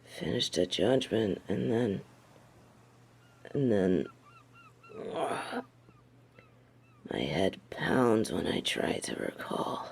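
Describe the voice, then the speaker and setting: A woman's voice: extremely low pitch, animated, drawn-out words, creaky, long pauses, darkish tone, breathy edge, heavily acted. A woman playing a snobbish, indignant fallen angel in a theatrical audio drama, speaking with haughty disdain. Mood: dazed, confused. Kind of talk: dramatic audio roleplay acting.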